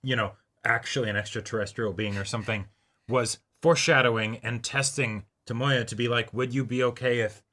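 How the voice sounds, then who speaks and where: A man with a bright, forceful voice at a middling pitch talks casually as a reaction show co-host. He is agreeable, repeating words for emphasis and often chiming in to affirm. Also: steady tone, a little fast. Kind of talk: casual anime episode discussion.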